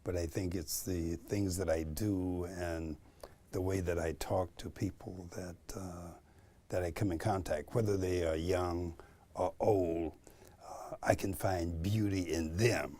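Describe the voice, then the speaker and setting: A man in his seventies being interviewed for a documentary, thoughtful and halting with fillers. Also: low-pitched, fairly even intonation, mellow. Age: 70s.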